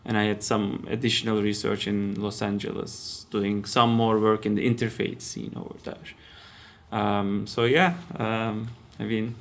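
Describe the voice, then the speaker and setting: A man in his thirties from Turkey with a Turkish accent, a podcast guest talking carefully and hesitantly, with frequent pauses and fillers. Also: lowish voice, flat delivery. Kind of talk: casual interview talk. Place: basement room.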